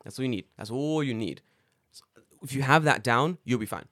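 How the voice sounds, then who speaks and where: A man co-hosting a casual podcast, his voice a little raspy, telling stories with lively acted-out quotes and plenty of swearing. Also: medium pitch, fairly quick, no room sound, studio quality. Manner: animated storyteller acting out quoted dialogue, swears freely. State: slightly raspy voice after karaoke. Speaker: podcast co-host. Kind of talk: casual chat.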